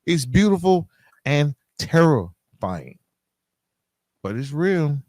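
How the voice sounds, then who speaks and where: A man's voice: fairly high pitch, big pitch swings, slow, a little mellow, dry recording. A middle-aged man hosting a podcast, talking straight to listeners in a reflective, emphatic monologue, often checking in as he goes. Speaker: podcast host.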